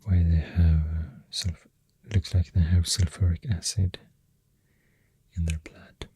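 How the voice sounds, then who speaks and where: An ASMR creator with a Swedish accent, speaking very softly and often near a whisper, thinking aloud hesitantly into a close microphone. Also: deep voice, flat delivery, takes it slowly, dry recording. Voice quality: very soft, breathy, often dropping to a whisper. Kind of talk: soft-spoken ASMR, rambling science facts.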